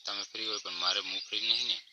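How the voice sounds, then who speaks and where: Fast-talking, low-voiced Gujarati man, noisy call. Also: steady tone. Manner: colloquial, informal. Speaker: caller. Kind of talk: casual flirtatious phone chat. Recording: very noisy phone call, birdsong, clicks.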